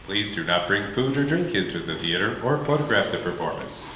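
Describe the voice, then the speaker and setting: A man's voice: fairly low pitch, expressive, fairly quick, fairly bright tone, a little hiss or hum. A man making a plain, informative announcement before a theater performance. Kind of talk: pre-show announcement. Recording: echoey theater with faint ambience.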